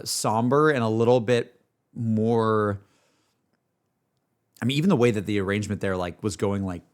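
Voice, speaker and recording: A man giving a casual, rambling livestream reaction, peppering his talk with filler words like a laid-back chat among friends. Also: on the low side. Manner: rambling, heavy use of "like" and "dude". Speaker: streamer reacting to game music.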